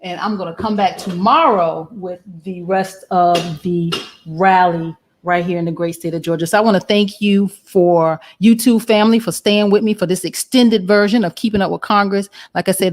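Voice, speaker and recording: A woman hosting a political livestream in casual chat, her voice raspy and hoarse from yelling, talking to her viewers as family. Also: on the low side, fairly even intonation, moderate pace, slight background noise. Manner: chatty, warm toward viewers, addresses audience as family. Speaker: political show host. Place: livestream setup in Atlanta.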